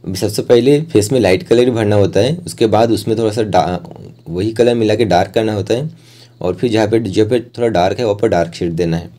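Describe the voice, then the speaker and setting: A man with a low, very mellow voice talks through an art tutorial step by step. His delivery is plain and informal, like an instructor explaining each stage of the work. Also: brisk.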